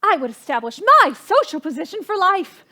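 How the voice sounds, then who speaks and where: Brief: imperious society matron for a period stage comedy, extremely high voice, swooping intonation, fretful and gushing. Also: female voice, lively intonation, brisk, sharp tone, exaggerated voice. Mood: exasperated, ambitious. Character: flustered, imperious society hostess, a socially ambitious mother. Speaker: stage actress. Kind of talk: theatrical period comedy acting.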